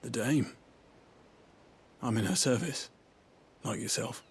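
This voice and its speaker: A man's voice: mid-range voice, slow, a little hiss or hum. A man playing a sombre, dutiful servant in a video game, subdued and plain-spoken. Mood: sombre, weary.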